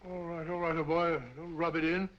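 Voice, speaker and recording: An actor playing a fussy, stammering doctor in an old radio drama. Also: male voice, on the high side, flat delivery, a little fast, close to an everyday voice. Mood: flustered. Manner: fussy, stammering, easily rattled. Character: flustered bumbling male doctor companion, middle-aged. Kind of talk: 1940s radio acting. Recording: muffled old radio recording with faint hiss.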